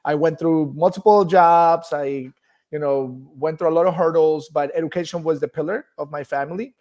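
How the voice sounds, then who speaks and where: A middle-aged man with a Colombian accent talks as a guest in a casual interview. His voice sits a little high for a man. He is a native Spanish speaker, informal and talkative as he tells his own story. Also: normal speed, a little mellow. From Bogota, Colombia. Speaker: guest, nonprofit executive.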